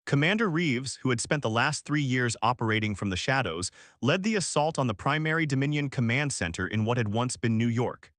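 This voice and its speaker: A man's voice: mid-range voice, animated, fairly quick, few pauses, fairly bright tone, dry recording, clean studio sound. A man reading a scripted science fiction story as a dramatic narrator. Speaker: audiobook-style narrator.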